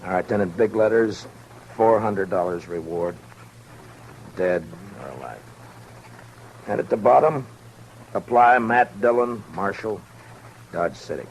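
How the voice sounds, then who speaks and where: Weary US marshal: very low American voice, dry and measured, 1950s radio western with background noise. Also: male voice, animated. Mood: businesslike. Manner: dry, measured, quietly sardonic. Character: weary, principled US marshal in the Old West. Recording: noisy old 1950s radio recording.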